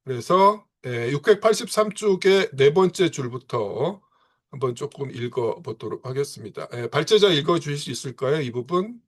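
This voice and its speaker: A man giving a seminar lecture, pausing often and filling gaps with short affirmations. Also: fairly high pitch, animated. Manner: punctuates phrases with frequent filler affirmations.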